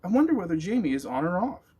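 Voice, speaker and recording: A man's voice: high-pitched, sing-song, fast talker, a little mellow, slightly rough, pitched above the performer's own voice, full character voice. A man voicing a small, worried male dog in a storybook reading. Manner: earnest, worried.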